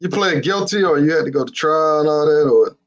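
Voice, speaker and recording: A man's voice: fairly high pitch, a little fast. A man hosting an interview, asking casual questions with some hesitation. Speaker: host. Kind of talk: livestream interview. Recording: lo-fi livestream audio.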